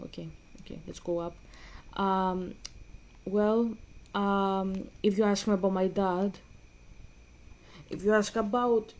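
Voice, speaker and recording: A young woman, chatting casually and rambling with many pauses and fillers while playing a game. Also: medium pitch, mellow. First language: Greek. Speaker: gaming vlogger. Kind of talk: casual gaming chat.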